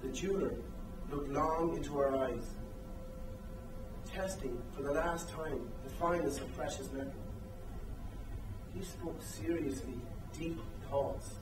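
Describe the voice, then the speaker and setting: A man's voice: a little high, unhurried, close to an everyday voice. A man in an amateur dramatic reading playing a solemn, thoughtful young groom-to-be, delivering poetic lines earnestly. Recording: echoey stage, distant mic, music behind.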